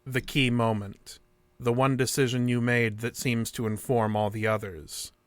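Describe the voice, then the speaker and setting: A man's voice: medium pitch, level tone, measured pace, no echo, natural voice. A man playing a cold alien interrogator in an audio drama, speaking formally and insistently for a collective.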